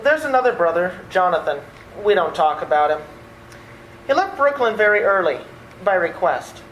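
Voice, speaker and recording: A woman's voice: on the low side, very animated, normal speed. A woman playing an exasperated, wry and incredulous nephew in a radio play. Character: exasperated, flustered nephew, an adult man. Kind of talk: old-time radio play acting. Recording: echoey room, slight background noise.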